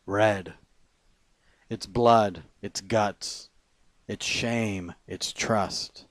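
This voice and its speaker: A man reading his own poetry aloud as spoken word, phrasing it deliberately in short lines with pauses between them. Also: lowish voice, steady tone, dry recording. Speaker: poet.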